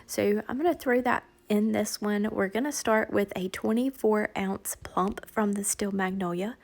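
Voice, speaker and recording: A woman with a raspy, hoarse voice, chatting step by step through a crafting tutorial voiceover. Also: mid-range voice. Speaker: crafting channel host.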